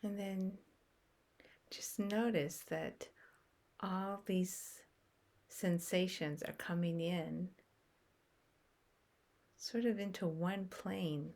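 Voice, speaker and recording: A woman guiding a meditation in a soft, unhurried way, with long pauses between short phrases. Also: lowish voice, steady tone, vocal fry. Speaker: meditation guide. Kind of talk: guided meditation.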